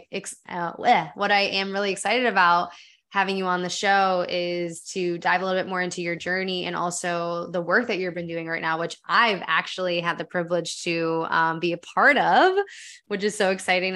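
A woman's voice: lowish voice, pushed and bright, smooth. A woman hosting a podcast interview, talking with enthusiasm and a lot of filler words. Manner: enthusiastic, lots of filler "like" and "really". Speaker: podcast host.

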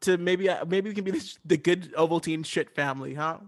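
A man's voice: a little high, brisk, close to an everyday voice. A man playing a chatty, bewildered parent in an improv comedy parody commercial, rambling and repeating himself. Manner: rambling, repetitive, hesitant.

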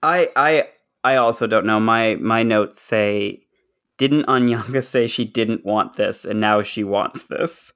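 A man co-hosting a podcast, chatting casually and haltingly, often repeating words as he goes. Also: fairly low pitch, fairly bright tone, clean tone. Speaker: podcast co-host. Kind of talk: casual chat.

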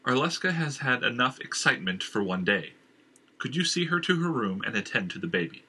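A man's voice: medium pitch, big pitch swings, brisk, sharp tone, slightly rough, voice pushed up, heavily acted. A man playing a proud, stubborn king who speaks in firm, commanding tones.

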